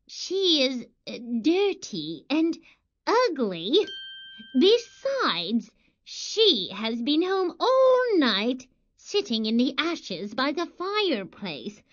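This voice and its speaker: A woman's voice: high-pitched, wildly swooping pitch, drawn-out words, a bit bright, voice pushed up, full character voice. A woman voicing a scheming, fawning and cruel middle-aged stepmother in a storybook tale, with exaggerated theatrical delivery. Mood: dismissive. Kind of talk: storybook character acting. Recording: dull, lo-fi audio.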